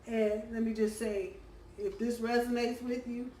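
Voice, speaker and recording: A hoarse woman giving a casual weekly tarot reading, chatty and full of ums and uhs. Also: a little high, flat delivery, a little slow. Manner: chatty, warm-hearted, lots of ums and uhs. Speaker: tarot reader. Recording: roomy, distant from the mic, faint background hum.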